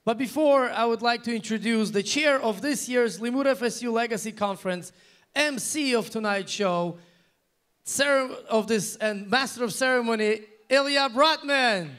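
A man co-hosting a gala, reading formal introductions in a slightly halting way on a banquet hall stage. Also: extremely high pitch, fairly bright tone. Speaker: event co-host. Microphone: handheld microphone.

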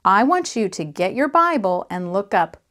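A woman voicing a concerned, gently firm female Sunday school teacher in a children's story, questioning and gently scolding. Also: mid-range voice, sing-song, normal speed. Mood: instructing.

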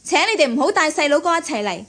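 A woman's voice: high-pitched, sing-song, fast talker, fairly bright tone, full character voice. A woman playing a strict young nurse in a radio drama, firm, scolding and authoritative. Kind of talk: Cantonese radio drama acting.

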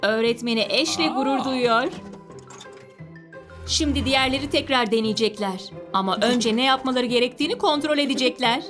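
A woman narrating a cartoon for young children, expressive and exclamatory, often asking questions and addressing the characters. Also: a little high, normal speed, over music.

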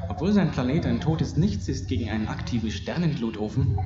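An actor playing an impatient, skeptical spaceship navigator in a science fiction audio drama. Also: male voice, medium pitch, lively intonation, fairly quick, lightly acted. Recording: muffled, echoey tape with music.

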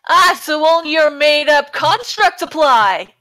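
Very high, bright-voiced brash woman. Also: expressive, unhurried. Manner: sweary, brash. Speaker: podcast panelist reading parody songs. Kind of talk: casual comedic performance reading.

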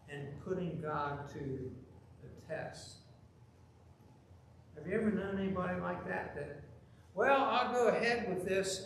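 A middle-aged man preaching a sermon from the pulpit, telling a story with lively, emphatic delivery. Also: high-pitched, a little hiss or hum. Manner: animated, gesturing, rising to loud emphasis. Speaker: pastor. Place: large church sanctuary, at the pulpit. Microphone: pulpit microphone, filmed from far camera. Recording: very echoey church with faint ambience.

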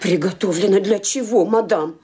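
A woman's voice: mid-range voice, normal speed, crowd noise, natural voice. An actress playing a devoted elderly maid who fusses and pleads anxiously over her mistress. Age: older. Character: devoted old maid, fussing and tearful.

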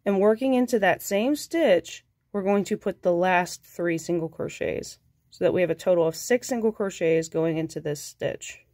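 A woman with a cold, sounding nasal, calmly talking through a crochet tutorial step by step and counting stitches aloud. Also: lowish voice, normal speed, no room sound, studio quality. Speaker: crochet instructor.

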